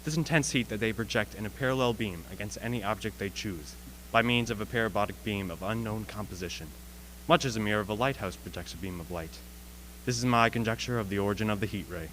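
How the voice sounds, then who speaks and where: A man playing a learned, analytical professor who lectures formally and deliberately in a radio play. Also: on the low side, a bit bright, slight background noise, lightly acted, rough recording. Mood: grave, analytical. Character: learned male astronomy professor, measured and analytical.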